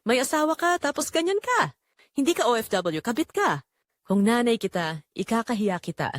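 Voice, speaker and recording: A woman's voice: on the high side, big pitch swings, moderate pace, no echo, studio quality, pitched above the performer's own voice. A woman with a Filipino accent voicing an outraged, shaming online commenter in a story. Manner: shaming, indignant.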